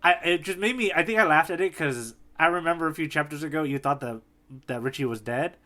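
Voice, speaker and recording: Male podcast co-host, fairly high voice, jokey and slangy, loose conversational banter. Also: quick pace, bright, forceful tone. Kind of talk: casual banter.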